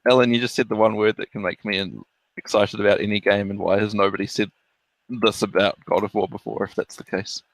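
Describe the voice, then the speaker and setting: A man's voice: on the low side, steady tone, brisk, gravelly, low-quality recording. A man chatting casually as a member of a podcast panel. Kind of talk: casual chat.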